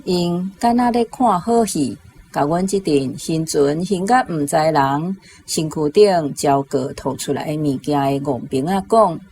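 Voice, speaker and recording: Low, dark-voiced Taiwanese woman over noise. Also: measured pace. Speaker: Taiwanese language teacher giving a model translation. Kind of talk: slow recorded example sentence. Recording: noisy, distant-sounding insert clip.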